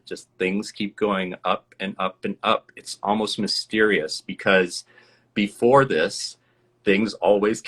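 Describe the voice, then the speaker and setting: A man's voice: deep voice, a bit bright. A man from the USA, a guest in a live interview, telling a personal story in a reflective, earnest way and laughing now and then.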